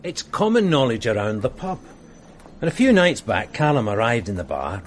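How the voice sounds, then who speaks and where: A man's voice: medium pitch, very animated, normal speed, slight background noise, close to an everyday voice. A man playing a chatty police sergeant. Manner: chatty, storytelling. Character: loyal, gossipy British police sergeant. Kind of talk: radio drama acting.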